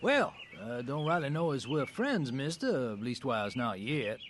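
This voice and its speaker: Gruff Southern farm dad and moonshiner, folksy radio drama, lively swings in pitch, some background noise. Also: male voice, measured pace. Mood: wary, edgy. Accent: Southern US rural. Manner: folksy, plain-spoken, drawling rural grammar. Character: gruff, stubborn country father and moonshiner. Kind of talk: old-time radio drama acting.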